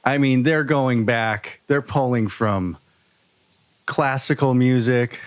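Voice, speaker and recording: Male podcast co-host, mid-pitched, halting and thoughtful, very dry recording. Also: clean tone, no echo. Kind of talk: casual chat.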